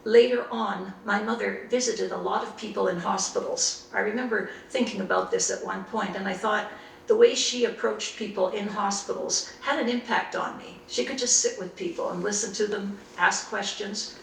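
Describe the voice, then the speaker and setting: An older woman from Canada, recalling her early life and studies in an unhurried, reflective way in an oral history interview. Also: medium pitch, creaky, reverberant, recorded from a distance, a little hiss or hum, rough recording. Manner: reflective, unhurried storytelling with frequent restarts.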